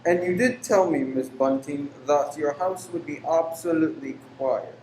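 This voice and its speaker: An actor in a radio play playing a weary, pious, secretive lodger who is formal, exacting and easily angered. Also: male voice, on the high side, moderate pace, lightly acted. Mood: sharp, stern. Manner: formal, exacting, abrupt then apologetic. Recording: roomy echo with faint background noise.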